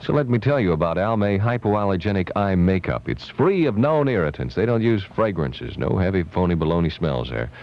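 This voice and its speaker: An American man working as a radio DJ, playful and chatty, ad-libbing through lively patter and ad reads. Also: low-pitched, brisk, few pauses. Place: radio studio. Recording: dull lo-fi 1970s AM radio aircheck.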